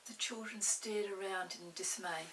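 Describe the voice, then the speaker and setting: Female storyteller for children's book: bright, expressive, sometimes breathy, a little off-mic with faint hiss. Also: medium pitch, a little slow, recorded from a distance, low-quality recording.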